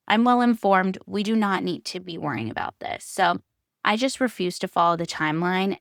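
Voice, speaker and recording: An American woman in her thirties hosts a personal podcast alone. Her voice sits on the low side and is recorded close and dry. She talks in a candid, conversational way, stressing her points with emphasis and repetition. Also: fairly bright tone, dry recording. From United States (California), born in Hungary. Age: 30s. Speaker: podcast host.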